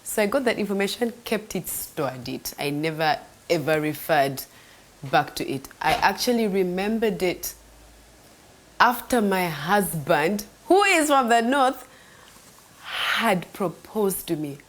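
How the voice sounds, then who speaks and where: Earnest woman, low-pitched storyteller. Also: unhurried, slight background noise. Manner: earnest, animated, dropping to soft near-whispers at times. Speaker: host sharing a faith testimony. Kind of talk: devotional storytelling.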